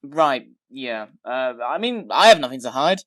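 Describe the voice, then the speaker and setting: A woman's voice: rumbling low, very animated, a little slow, exaggerated voice. A woman playing a cheeky young man. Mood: breezy. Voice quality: flippant, casual.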